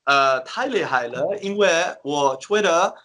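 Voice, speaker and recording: Bright-voiced man interviewing, full of fillers. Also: on the high side, expressive, takes it slowly. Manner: hesitant, many filler sounds. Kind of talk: casual video interview.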